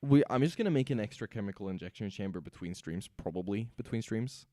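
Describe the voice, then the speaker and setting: A man with a raspy, sore voice, talking himself through building machines while streaming a game. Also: lowish voice, expressive, fast, dark tone, no echo, clean studio sound. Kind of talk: casual gameplay commentary.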